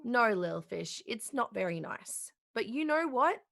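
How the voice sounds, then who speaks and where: A woman playing a gentle children's puppet show host, explaining things in a patient, careful way. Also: on the high side, sing-song, measured pace, creaky, dry recording, studio quality, full character voice. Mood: consoling. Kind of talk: children's television host acting, play reading.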